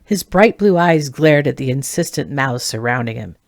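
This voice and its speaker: A woman narrating a fantasy novel as its author, in an expressive storybook reading style. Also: rumbling low, normal speed, no room sound, clean studio sound.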